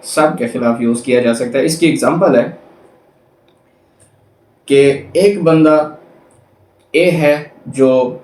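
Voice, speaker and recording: A Pakistani man lectures in a slightly high voice, explaining step by step with examples. He restates each point methodically and drops English legal terms into his speech. The sound is clearly echoey, as in a bare room. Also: darkish tone. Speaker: law lecturer.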